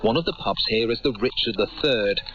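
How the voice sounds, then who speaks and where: A man's voice: mid-range voice, a little fast, a bit bright. Male reporter on muffled, noisy radio. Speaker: radio news correspondent. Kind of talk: scripted report narration.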